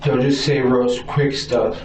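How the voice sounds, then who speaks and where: Far off echoey recording, american guy answering police questions, stammers a lot, slow halting talk. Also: mid-range voice, steady tone, a little mellow. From Arizona, United States. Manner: hesitant, stammering, repeating words. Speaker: suspect being questioned by police. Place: police interview room. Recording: distant roomy mic, dull audio, faint noise.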